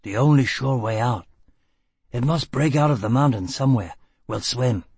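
A man voicing a gruff, blunt, stubborn escaped prisoner in a storybook reading, with terse, grunted lines. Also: medium pitch, moderate pace, darkish tone, dry recording.

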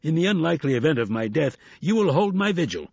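Man voicing a sly, grandiose old hustler, animated. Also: on the high side, fast talker, vocal fry, husky, no room sound, dull audio, voice pushed up, exaggerated voice. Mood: commanding, persuasive. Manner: grandiose, persuasive, theatrical. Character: sly, grinning older male con artist, commanding, jovial. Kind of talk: audiobook character acting.

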